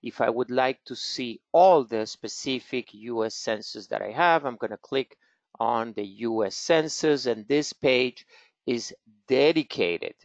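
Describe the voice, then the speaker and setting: Slow-spoken man with a Venezuelan accent. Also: mid-range voice, dry recording. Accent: Venezuelan Spanish. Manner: slow, careful, with many "uh" fillers. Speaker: genealogy expert hosting a webinar. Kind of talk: live webinar with screen-share walkthrough. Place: office in Israel. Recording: dull, slightly static home mic.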